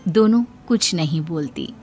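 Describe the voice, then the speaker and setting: A woman's voice: low voice, lively intonation, a little slow, a little mellow, breathy edge, soft background music. A woman reading a scripted moral story as its narrator.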